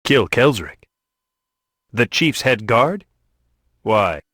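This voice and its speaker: An actor playing a polite, earnest young adventurer who asks many questions, in a video game cutscene. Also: male voice, medium pitch, sing-song, very slow, a bit bright, husky, no echo, studio quality, exaggerated voice. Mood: puzzled. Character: earnest young male adventurer seeking a cure for his curse.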